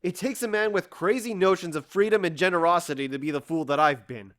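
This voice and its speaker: A man's voice: sits high, quick pace, a bit bright. A man playing a stoic, embittered husband in an audio drama, weary and resolute, with grave, formal speech. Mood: bitter, disgusted.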